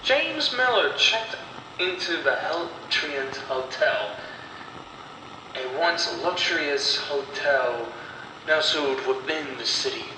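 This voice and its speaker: A man narrates a horror tale at a slow, deliberate pace, breaking his lines into dramatic fragments. His voice sits a little high for a man. It sounds roomy and echoey, as scripted storytelling recorded in a reverberant space. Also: expressive. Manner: deliberate, dramatic, broken phrasing with pauses. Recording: roomy echo, distant mic, clear background noise.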